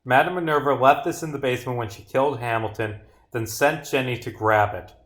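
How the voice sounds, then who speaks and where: A man's voice: mid-range voice, a little fast, a bit bright, natural voice. Matter-of-fact detective, read by a man. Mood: firm, accusing. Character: dogged detective pressing a suspect in a visual novel.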